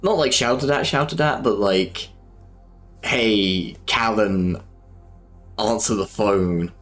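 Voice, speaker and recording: Southern English man, lowish voice, chatty. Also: takes it slowly, fairly bright tone, soft background music. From Southern England. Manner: rambling and self-deprecating, many fillers. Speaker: streamer. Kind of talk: casual chat while painting miniatures.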